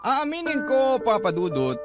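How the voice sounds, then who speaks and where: A man's voice: very high voice, wildly swooping pitch, measured pace. A man narrating a listener's confessional story in a reflective, scripted radio drama style. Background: loud music.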